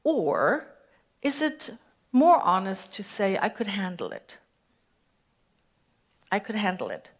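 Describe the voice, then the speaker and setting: A woman's voice: medium pitch, wildly swooping pitch, takes it slowly, pauses often. A woman minister with a German accent, giving a conversational sermon and putting questions to her congregation. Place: church sanctuary.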